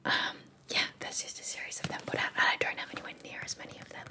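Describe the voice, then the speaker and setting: Whispering woman reading a bedtime story. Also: fast. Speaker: reader.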